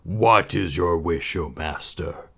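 A man voicing a huge male genie of the lamp who speaks slowly and solemnly in a rumbling voice. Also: creaky, bright, forceful tone, husky, full character voice. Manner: slow and solemn.

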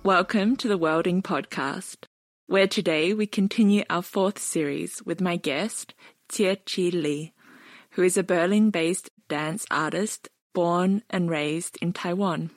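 A woman's voice: on the low side, unhurried, no room sound. A woman hosting an interview podcast in an articulate, thoughtful, reflective way. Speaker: podcast host.

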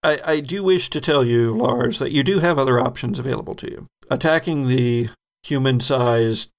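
Male game master, creaky mid-pitched voice, descriptive improvised horror narration at the table. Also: moderate pace.

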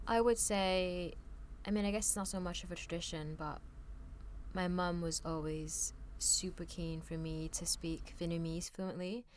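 A chatty young woman speaking in a low, fairly level voice. She talks casually and leans on "like" as she tells stories. A faint hiss of noise sits under the recording. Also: steady tone, normal speed. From France. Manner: chatty, lots of "like" fillers. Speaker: podcast guest. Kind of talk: casual conversational storytelling.

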